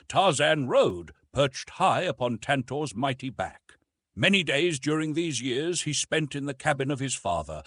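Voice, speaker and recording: A man's voice: mid-range voice, fairly bright tone, breathy edge, no room sound. A man narrating an adventure story from a script in a dramatic, theatrical way, drawing out words for emphasis. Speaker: audiobook narrator.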